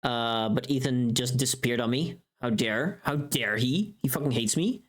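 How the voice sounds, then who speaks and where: A woman hosting a casual livestream, chatting with viewers in a playful, teasing, sweary and expressive way. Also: extremely low pitch, normal speed. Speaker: livestream host.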